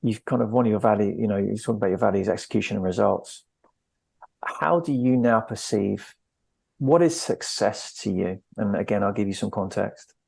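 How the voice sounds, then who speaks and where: A man's voice: lowish voice, normal speed, creaky, darkish tone, slightly rough, dry recording. A man hosting a podcast, asking his guest long, searching questions that wander a little. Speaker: podcast host. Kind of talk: interviewing a guest.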